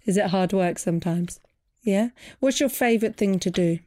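Woman, podcast-host mum, mid-pitched, playfully coaxing a child through a casual interview. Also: normal speed, warm tone, no echo, studio quality. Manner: encouraging, playful prompting.

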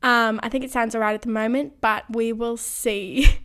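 A young woman in her twenties talks through her solo podcast in a fairly high voice. Her voice is a little croaky, worn from a recent illness. She speaks earnestly and keeps breaking into soft laughs. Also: fairly even intonation, moderate pace. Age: 20s. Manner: earnest, frequent light laughs. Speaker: podcast host. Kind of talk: casual solo podcast monologue.

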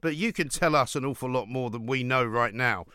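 A man's voice: mid-range voice, a little fast, bright, forceful tone, smooth, no room sound, studio quality. A British man hosting a talk radio show, giving opinions in an emphatic, sardonic, rhetorical way.

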